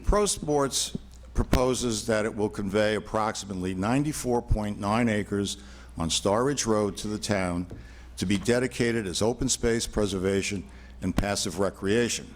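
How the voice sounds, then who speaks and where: An older man, an attorney, giving a formal presentation to a town board at a podium microphone, talking loudly and measuredly with frequent fillers. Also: fairly low pitch, normal speed, a little hiss or hum. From New York, USA. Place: town hall meeting room.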